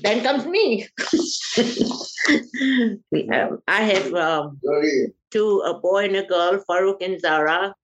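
Older woman interviewee, raised in Uganda, hesitant and slow-spoken, dull lo-fi recording. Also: mid-range voice, lively intonation, a little hiss or hum. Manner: slow and halting, many fillers. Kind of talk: family oral history interview.

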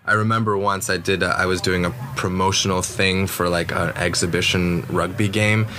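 A man's voice: deep voice, level tone, moderate pace, sharp tone. A man who grew up speaking Mandarin, a guest talking casually in an interview, rambling with lots of fillers and restarts. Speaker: guest, actor.

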